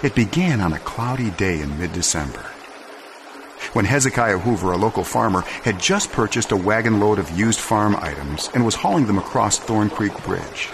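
A man giving a dramatic scripted story reading, measured and solemn, with deliberate pauses and expressive phrasing. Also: on the low side, noisy background. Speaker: storyteller, narrator.

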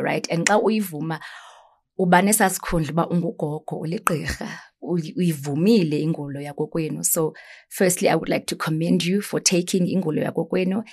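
A woman's voice: lowish voice, gravelly, breathy edge. A woman with a South African accent hosting a conversational interview, moving easily between English and isiXhosa. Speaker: host. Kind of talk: casual interview chat.

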